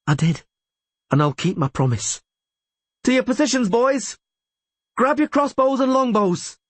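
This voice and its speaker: A man voicing a fierce, defiant female mercenary warrior in an audiobook story, with forceful, shouted delivery. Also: fairly high pitch, moderate pace, husky, dry recording, voice pushed up. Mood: grimly resolute.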